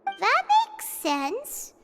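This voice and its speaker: A woman voicing a tiny, childlike, excitable and petulant fairy companion in a cartoonish video game. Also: very high voice, extremely slow, in a large hall, over loud music, heavily acted. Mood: curious, puzzled. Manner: childlike, speaks of herself in third person.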